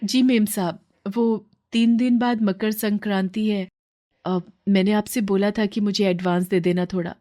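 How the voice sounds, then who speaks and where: A woman voicing a humble poor mother who works as a maid, gentle, earnest and deferential, in a story. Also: mid-range voice, fairly even intonation, a little slow, natural voice. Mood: polite, hopeful.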